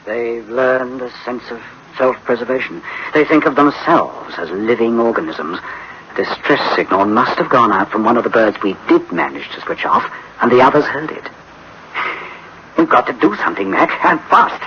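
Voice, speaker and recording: An actor playing a grave, anxious engineer in a science fiction radio drama, with an emphatic theatrical delivery. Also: male voice, mid-range voice, moderate pace, gravelly, pushed and bright. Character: anxious, grave male engineer, dreading disaster. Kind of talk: dramatic sci-fi radio acting. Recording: dull, faintly noisy old radio recording.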